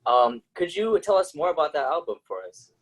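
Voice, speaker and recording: A man's voice: fairly high pitch, animated, a little fast, slightly rough, rough recording. A man hosting an interview, asking questions in a friendly way and answering with brief affirmations. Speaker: interviewer, host.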